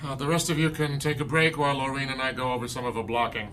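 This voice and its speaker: An actor playing an authoritative, formal theatre director in an audio drama. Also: male voice, mid-range voice, little pitch movement, fast talker, over music, lightly acted, low-quality recording. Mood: commanding. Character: authoritative male theatre director running a rehearsal.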